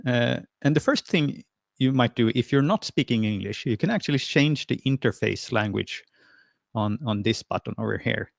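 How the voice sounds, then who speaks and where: A man's voice: mid-range voice, normal speed, vocal fry, dry recording, clean studio sound. A man from Sweden, presenting a friendly, hesitant screen-share tutorial over a video call, with lots of fillers and restarts. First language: Swedish. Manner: friendly and encouraging.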